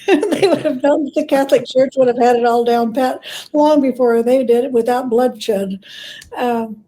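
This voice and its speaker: A woman speaking as a guest scholar in an interview, explaining things conversationally in halting, thoughtful phrases with self-corrections. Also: fairly high pitch, mellow, poor audio.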